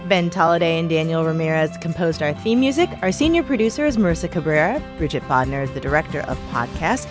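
A woman's voice: sits low, sing-song, fast talker, music in the background. A woman co-hosting a podcast in easygoing, casual chat. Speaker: podcast co-host.

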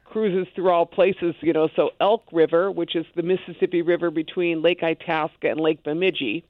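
A man with a somewhat high voice talks through a tinny, thin phone line. His speech is loose and conversational and full of asides. The sound is dry and close. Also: fairly even intonation, normal speed, dry recording. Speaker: guest. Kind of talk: casual conversation on a radio show.

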